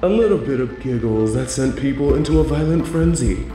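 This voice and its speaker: A man playing a playful, sinister supervillain in an audio drama, teasing and gloating with theatrical, drawn-out emphasis. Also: mid-range voice, expressive, warm tone, echoey room, soft background music, natural voice. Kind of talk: scripted audio roleplay villain monologue.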